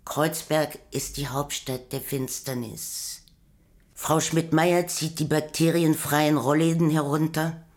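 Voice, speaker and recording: A woman's voice: deep voice, fairly even intonation, slow, a little mellow. A woman playing a solemn narrator of a city at night, reading poetic text in a German radio play. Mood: solemn, unhurried.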